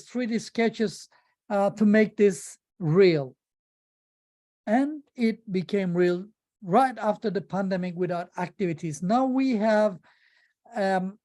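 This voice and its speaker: Mellow-voiced woman. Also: medium pitch. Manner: halting non-native English with frequent pauses. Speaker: amateur radio presenter. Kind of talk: informal slide presentation.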